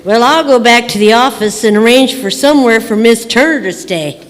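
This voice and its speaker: A woman's voice: a little high, moderate pace, lightly acted. A woman playing a helpful small-town local with dramatic old-time radio stage delivery. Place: live stage in a museum courthouse. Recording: echoey hall, loud music behind.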